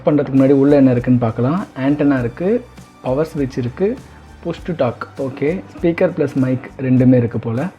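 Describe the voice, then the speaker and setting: A man's voice: mid-range voice, brisk. A man hosting a casual unboxing, talking conversationally and mixing in English words. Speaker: video host. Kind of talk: casual product unboxing commentary. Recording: dull lo-fi, faint music behind. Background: faint music.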